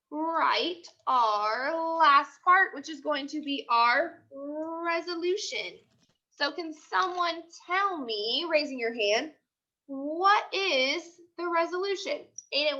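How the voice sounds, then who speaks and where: Female teacher, bright high voice, instructional read-aloud delivery with repeated phrasing, somewhat dull online-class sound. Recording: dull lo-fi audio.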